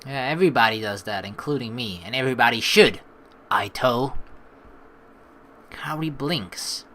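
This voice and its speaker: A young woman doing a let's play, switching between rambling casual commentary and expressive read-aloud narration of a game. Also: extremely low pitch, normal speed, a little hiss or hum. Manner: rambling, colloquial, playfully expressive. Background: faint game music.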